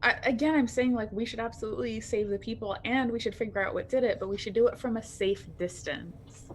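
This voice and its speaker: A woman's voice: on the high side, brisk. A woman playing a tabletop roleplaying game, improvising in-character dialogue in a conversational way. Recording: faint background noise.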